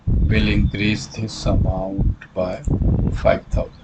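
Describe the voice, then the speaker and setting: A man narrating a hands-on software tutorial as a trainer, speaking haltingly with repetition and frequent self-corrections. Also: lowish voice, little pitch movement, very noisy.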